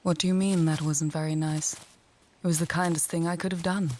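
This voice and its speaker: A woman playing a wry, confident mage and healer in a fantasy audio tale, speaking in a measured, articulate, dryly teasing way. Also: low-pitched, little pitch movement, mellow, slight background noise, lightly acted. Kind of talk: fantasy audio roleplay, addressing the listener.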